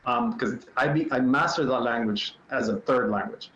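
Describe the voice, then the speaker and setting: A man in his fifties from Puerto Rico, a native Spanish speaker with a Puerto Rican accent, telling his career story at a relaxed pace with frequent ums. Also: mid-range voice, moderate pace, slight background noise. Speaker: panelist. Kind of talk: virtual panel talk. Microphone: video call mic. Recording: roomy, dull-toned home setup.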